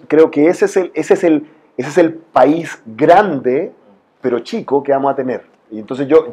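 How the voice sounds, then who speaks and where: A man's voice: medium pitch, dark tone. A man with a Chilean accent speaking as a forum guest, arguing informally with anecdotes in an emphatic, colloquial way peppered with tag questions. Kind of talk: informal spoken argument with anecdotes.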